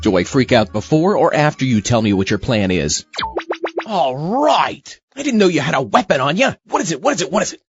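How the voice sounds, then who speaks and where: An actor playing an exasperated young sidekick to a mad scientist in a comedic audio drama, sarcastic and incredulous. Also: male voice, on the high side, sing-song, brisk, husky, heavily acted. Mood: urgent. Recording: dull lo-fi audio with music behind.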